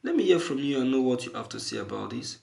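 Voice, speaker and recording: Male online commentator, mid-pitched with a creaky edge, emphatic and halting, opinionated take on the news. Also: expressive, a little mellow. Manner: emphatic, repeating phrases for stress.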